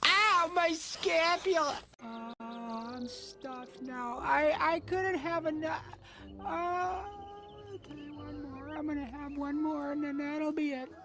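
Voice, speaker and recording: A man voicing a timid, chubby, nerdy young cartoon boy with a whiny, nasal voice and a halting, awkward manner. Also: extremely high pitch, music in the background, heavily acted. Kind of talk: animated cartoon voice acting.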